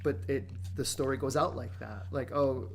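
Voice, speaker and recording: A man's voice: medium pitch, fairly even intonation, moderate pace, gravelly, crowd noise. A man, a border patrol agent, guesting on a podcast and telling work stories in a blunt, casual way, swearing freely. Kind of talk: casual storytelling in an interview.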